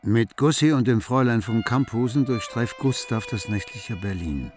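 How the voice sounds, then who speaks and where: Actor as arch male narrator, medium pitch, scripted radio drama delivery, soft music behind. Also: expressive, normal speed, lightly acted.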